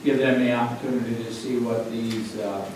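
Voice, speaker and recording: A man giving a school report at a board meeting, measured, with frequent "uh"s. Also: mid-range voice, fairly even intonation, a little mellow, slight background noise. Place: large meeting room. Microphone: distant room mic. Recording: echoey room from far off.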